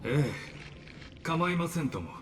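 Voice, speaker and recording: A coldly courteous game villain. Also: male voice, a little high, very animated, slight background noise. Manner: formal, polite and measured. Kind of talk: Japanese game dub acting. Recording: game audio with reverb and faint music.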